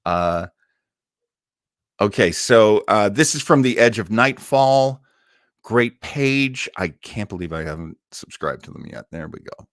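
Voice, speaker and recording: Low-voiced, very expressive male host. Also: unhurried, no echo, clean studio sound. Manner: enthusiastic, informal. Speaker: listening party host. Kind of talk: casual livestream chat.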